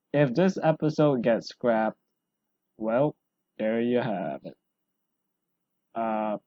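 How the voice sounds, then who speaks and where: A man hosting a solo podcast, rambling and self-deprecating, his voice hoarse from talking a long time. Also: mid-range voice, no echo, dull audio. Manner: rambling, self-deprecating, full of fillers and restarts. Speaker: podcast host.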